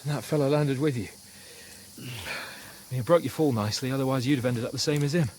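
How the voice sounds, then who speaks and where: An actor playing an anxious, chatty, loyal young highborn companion, flustered and urgent, in a fantasy game. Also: male voice, brisk, darkish tone, a little hiss or hum, close to an everyday voice. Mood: wry, chatty. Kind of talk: dramatic video game acting.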